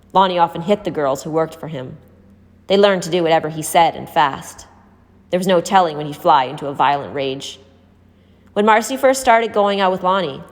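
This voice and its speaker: Lowish-voiced woman narrator in echoey reverb. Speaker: audiobook reader. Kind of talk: scripted story narration.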